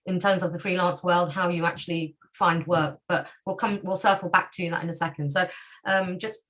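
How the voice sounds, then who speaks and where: A woman hosting a panel, moving it along with brisk, encouraging prompts. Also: lowish voice, steady tone, a bit bright. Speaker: host and moderator. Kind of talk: moderating a panel.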